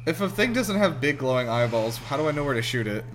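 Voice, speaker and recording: A man's voice: medium pitch, big pitch swings, quick pace, fairly bright tone, a little hiss or hum. A man giving casual, wry, joking commentary as he reacts to a film. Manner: wry, mocking. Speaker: reaction show host. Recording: film soundtrack music behind. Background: film being watched, music.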